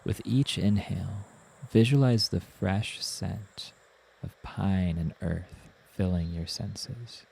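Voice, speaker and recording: A man narrating a guided meditation as the guide, in a soothing, gentle manner with unhurried instructions. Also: low voice, creaky, a little hiss or hum.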